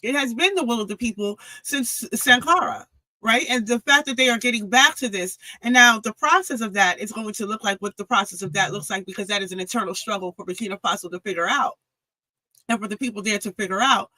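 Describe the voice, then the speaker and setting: A woman guest on an interview podcast, talking with a forceful, pressing tone. She works through her analysis point by point, often restarting her sentences. Her voice is close and dry, with no room sound. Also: medium pitch, fairly quick, no room sound, poor audio. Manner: analytical, builds arguments with frequent restarts.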